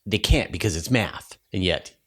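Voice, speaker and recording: A male science interviewer with a lowish voice that moves around a great deal in pitch. He talks conversationally, working through his questions aloud and putting ideas in plain terms for listeners. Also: animated, moderate pace. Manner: inquisitive, thinking aloud, rephrasing for lay audience. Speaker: interview host.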